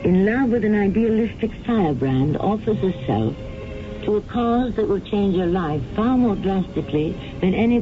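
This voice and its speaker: A man hosting and narrating a radio mystery in a theatrical, portentous manner. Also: high-pitched. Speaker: host and narrator. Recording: tinny old radio broadcast with music.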